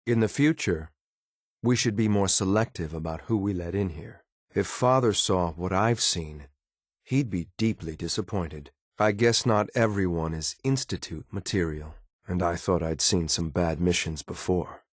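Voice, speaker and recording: Low-voiced, stern synthetic agent. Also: male voice, normal speed, no room sound, studio quality. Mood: bitter contempt. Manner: clipped, formal, deadpan military address. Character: stern, loyal synthetic male agent, coolly formal. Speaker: voice actor in a video game. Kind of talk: scripted game character lines.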